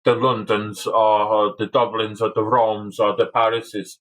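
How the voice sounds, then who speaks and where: A man from North Wales, a podcast guest talking in a halting way and often repeating words as he thinks. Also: on the low side, level tone, a bit bright, poor audio. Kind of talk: casual conversation.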